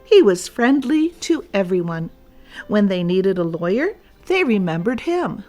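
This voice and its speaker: A woman reading a storybook aloud for children, deliberately and addressed straight to young listeners. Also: medium pitch, big pitch swings, darkish tone, soft background music.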